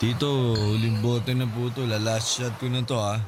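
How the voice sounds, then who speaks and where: A man playing a respectful, concerned young nephew, speaking casually and gently in a Filipino radio drama. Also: mid-range voice, flat delivery, normal speed, over music, close to an everyday voice. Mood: tipsy, easygoing. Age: young adult. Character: respectful young nephew, concerned drinking companion of his uncle.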